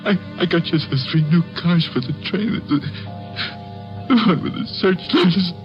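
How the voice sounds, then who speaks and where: A man's voice: sits high, moderate pace, music in the background, lightly acted. An actor playing a grieving father in a 1940s radio drama, American, his voice choked with emotion as he pleads, broken. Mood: anguished, pleading. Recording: muffled vintage 1940s radio recording.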